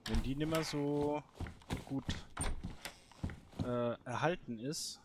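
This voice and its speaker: A man's voice: medium pitch, takes it slowly, background noise. A man giving casual solo let's play commentary, thinking aloud to himself. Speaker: gaming video host.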